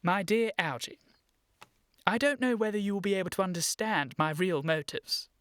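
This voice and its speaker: An actor playing an earnest, evasive, easily flustered young English gentleman in a comic radio play, with a proper, indignant manner. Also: high voice, moderate pace, smooth, no room sound, studio quality. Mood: pompous explaining.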